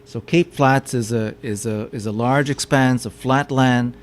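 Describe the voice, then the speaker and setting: A man's voice: mid-range voice, steady tone, a little slow. A man giving a public lecture with slides, often stopping to repeat his words as he explains. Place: meeting room with audience.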